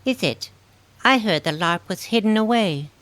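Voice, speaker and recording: A woman's voice: medium pitch, animated, moderate pace, fairly bright tone, lightly acted. A woman playing a prim, earnest companion from a fantasy realm, with formal, old-fashioned phrasing in an audio drama. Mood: doubtful. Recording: long reverb, faint rain noise.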